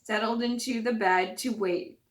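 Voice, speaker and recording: A woman's voice: fairly high pitch, fairly even intonation, measured pace. A woman with a scratchy voice hosting her channel and reading a fairytale aloud, with chatty, apologetic asides. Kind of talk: storybook read-aloud.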